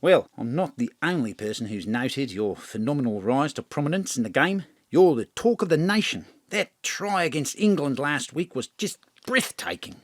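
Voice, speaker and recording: A man's voice: medium pitch, animated, normal speed, few pauses, dry recording, clean studio sound, natural voice. An actor playing a hearty, blunt and effusive male rugby coach with a blustery, matey manner in an audio drama.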